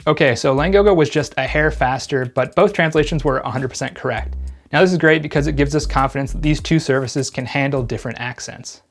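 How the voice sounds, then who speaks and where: A man hosting a tech review, calmly explaining test results in scripted narration. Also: mid-range voice, a little fast, faint music behind. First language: English. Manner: calm, explanatory, even-handed. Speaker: gadget reviewer host.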